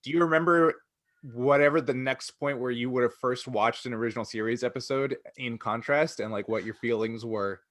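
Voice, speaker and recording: Bright, crisp-edged man, dry sound. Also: mid-range voice, a little fast, no room sound. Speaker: podcast co-host. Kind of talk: casual chat, asking questions.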